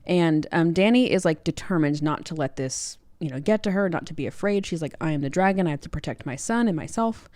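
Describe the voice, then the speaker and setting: A woman's voice: deep voice, animated, fairly quick, few pauses, a little mellow, dry recording, clean studio sound. A woman co-hosting a book podcast, recapping a story in a casual, chatty, informal way. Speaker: book club podcast co-host.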